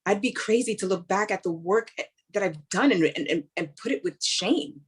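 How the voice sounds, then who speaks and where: A woman's voice: mid-range voice, expressive, moderate pace, husky. An actress playing a driven, weary woman police officer in a staged play reading, earnest and blunt in short emphatic phrases. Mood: determined.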